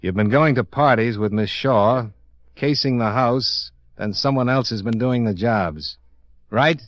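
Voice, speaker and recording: A man's voice: medium pitch, unhurried, no room sound, natural voice. An American man playing a tough, blunt insurance investigator in a Golden Age radio drama, with clipped, commanding, hard-boiled delivery. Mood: hard, threatening. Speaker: radio drama lead and narrator. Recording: old radio drama recording, dry studio.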